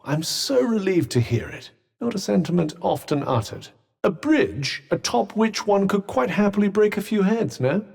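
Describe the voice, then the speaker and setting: A man's voice: a little high, vocal fry, darkish tone, husky, exaggerated voice. A man with a British accent voicing a haughty, sardonic magical cuff in a video game, speaking with dry, articulate understatement. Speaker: voice actor. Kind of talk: video game companion dialogue.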